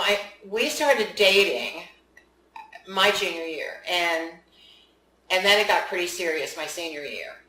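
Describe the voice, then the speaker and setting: An older woman with a fairly low voice, chatting easily and laughing through her stories. She sounds a bit far from the mic in a roomy studio, with faint ambience behind her. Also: pushed and bright. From West Virginia. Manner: chatty storyteller, laughs often. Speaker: author, interview guest. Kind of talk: casual interview conversation.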